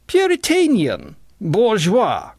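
A man's voice: a little high, wildly swooping pitch, moderate pace, dry recording, clean studio sound, voice pushed up. A man voicing pretentious, scornful young intellectuals in an audiobook, with affected disdain. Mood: jeering.

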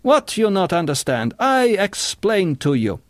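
A man putting on a high-pitched pushy foreign singer. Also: huge pitch swings, slow, vocal fry, slightly rough, dry recording, heavily acted. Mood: pushy, insistent. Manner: broken English, insistent. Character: bearded male singer, foreign, pushy, pompous, touchy.

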